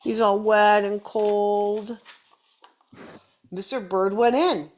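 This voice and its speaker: A woman's voice: medium pitch, steady tone, very slow, darkish tone. A woman reading a children's picture book aloud, playfully chatting to young listeners in little asides. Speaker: storybook reader.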